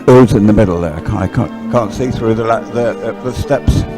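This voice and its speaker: A man's voice: fairly low pitch, normal speed. A man giving live race commentary in a conversational, searching way. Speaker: sports commentator. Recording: music playing behind outdoor live broadcast. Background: music.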